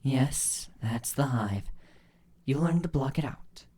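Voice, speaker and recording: A man voicing a stern, cool changeling mother in a storybook reading, speaking in a firm, measured, commanding way. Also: medium pitch, animated, dark tone. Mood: calm, explaining.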